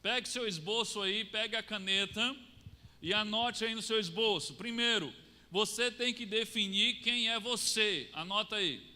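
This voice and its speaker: A Brazilian man preaching a sermon, swinging between raised lines and low, quiet drops for emphasis. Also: measured pace. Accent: Brazilian Portuguese. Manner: rises and falls, drops low to stress points. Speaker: pastor. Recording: long reverb of a church hall.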